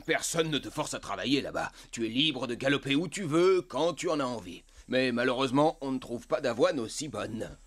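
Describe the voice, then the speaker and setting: A man voicing an easygoing young wild horse in an animated series, relaxed and persuasive, proud of a free life. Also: medium pitch, moderate pace, few pauses. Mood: dreamy, proud. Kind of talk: animated series voice acting, French dub.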